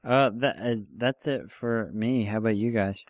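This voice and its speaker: Medium-pitched man in casual podcast talk. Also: moderate pace, no echo, clean studio sound. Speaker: podcast co-host. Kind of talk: casual chat about a film.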